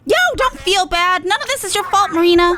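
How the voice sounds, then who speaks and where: A woman voicing a brash, peppy, fearless little drone sidekick from a video game, with a slangy, punchy, excitable delivery. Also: very high-pitched, fairly quick, very noisy, voice pushed up. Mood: reassuring, defiant.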